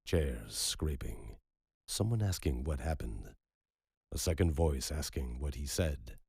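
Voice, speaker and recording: A man narrating a dark story in a slow, brooding, measured way. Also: very deep voice, expressive, vocal fry, husky, no echo, studio quality.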